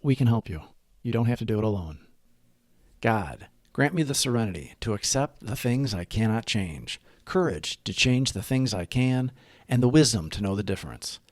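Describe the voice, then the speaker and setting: A man narrates a recovery meditation from a script. His pitch moves in lively swings while his phrasing stays deliberate and measured. The sound is dry and close, with little room around it. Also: dry recording. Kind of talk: scripted read-aloud of daily meditation and reflection.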